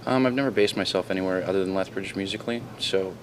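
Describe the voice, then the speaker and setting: A Canadian man, a guitarist who founded his band, answering interview questions in a confident, rambling way and clearing his throat now and then. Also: low voice, fast, a crowd in the background, low-quality recording. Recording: raw camcorder audio with noticeable noise.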